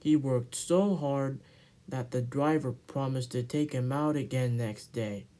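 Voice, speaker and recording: Slow, mellow man reading a storybook. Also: mid-range voice. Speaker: narrator. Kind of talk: amateur children's storybook reading.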